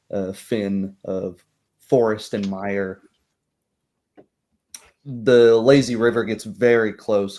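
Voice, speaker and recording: A man narrating a tabletop roleplay as game master, in a halting, descriptive way. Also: lowish voice, a little mellow.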